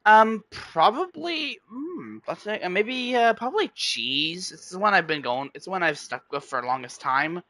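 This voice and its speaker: A man with a fairly high, bright and forceful voice talks casually to his stream chat. He rambles, restarts and corrects himself as he goes. The sound is dry and close. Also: lively intonation, moderate pace, hardly any pauses, dry recording.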